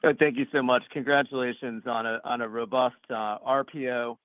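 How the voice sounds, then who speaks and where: A man's voice: mid-range voice, fairly even intonation, fairly quick, no echo. A man speaking as a financial analyst, making unscripted remarks on an earnings call. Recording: dry, slightly dull phone connection.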